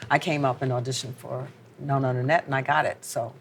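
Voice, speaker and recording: Older lady with a really deep voice, soft-spoken, some faint noise behind. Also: fairly even intonation, brisk. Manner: soft, reminiscing, quiet affirmations. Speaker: guest, dancer and choreographer. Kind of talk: casual chat.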